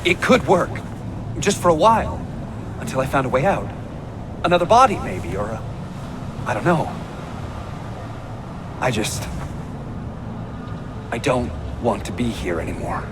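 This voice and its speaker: Actor as a slow, halting, lonely man. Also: medium pitch, expressive, noisy background, lightly acted. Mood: pleading. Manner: halting, earnest. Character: lonely, desperate trapped man, pleading and wistful. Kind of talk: video game cutscene acting. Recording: music behind the voice.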